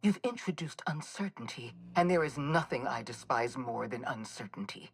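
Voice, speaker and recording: Husky, cold military commander. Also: female voice, extremely low pitch, full character voice. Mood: cold, reproachful. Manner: formal, measured, menacing. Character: cold, stern military commander, weary and ruthless. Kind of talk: video game villain monologue.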